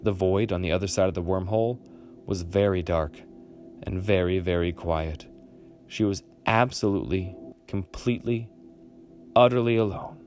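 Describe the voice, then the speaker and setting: A man reading a children's picture book aloud expressively, with dramatic pauses. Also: deep voice, darkish tone, faint music behind. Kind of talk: expressive storybook read-aloud.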